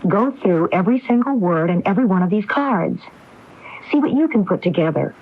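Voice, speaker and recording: An actress playing a determined, anguished widow in a radio mystery drama, speaking in an insistent, probing way. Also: female voice, medium pitch, lively intonation, brisk. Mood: pleading, weary. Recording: dull lo-fi AM radio broadcast recording.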